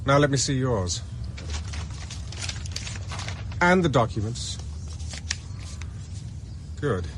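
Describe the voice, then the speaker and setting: A man's voice: mid-range voice, very animated, slow pace, slight background noise. A man with a British accent playing a resourceful, wary former army captain on the run in a radio drama. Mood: cool, guarded.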